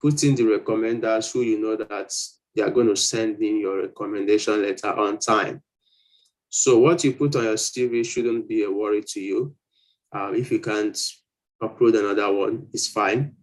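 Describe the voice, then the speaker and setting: A man's voice: fairly low pitch, low-quality recording. Man explaining in a live Q&A. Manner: explanatory, repeats and rephrases points. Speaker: host answering applicants' questions. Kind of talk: informal live Q&A, reading questions aloud and advising.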